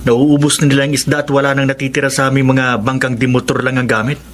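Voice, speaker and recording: A man's voice: medium pitch, flat delivery, fast talker, a bit bright, slight background noise, lightly acted. A man playing a humble, hesitant fisherman brother in his twenties in a radio drama, with a Filipino accent. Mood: hesitant, protesting.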